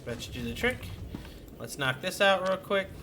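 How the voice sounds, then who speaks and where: A man's voice: high-pitched, big pitch swings, moderate pace, noisy background. A man giving casual commentary as he plays a video game and streams it. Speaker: gaming streamer playing and commenting.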